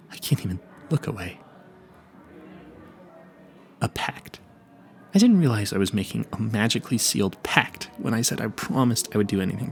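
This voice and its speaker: A man's voice: on the low side, creaky, a little mellow. A man playing a clueless, earnest vampire hunter in an audio roleplay, stammering and rambling in a politely formal, pleading way. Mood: alarmed, dazed, then sheepish, smitten. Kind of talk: intimate audio roleplay addressed to the listener.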